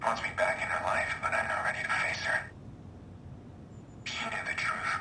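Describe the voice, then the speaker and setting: Brooding game vigilante, late thirties, very low breathy voice, terse and grim, echoey recording. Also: male voice, huge pitch swings, moderate pace, distant mic, noisy background. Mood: guarded, troubled. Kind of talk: scripted video game audio log.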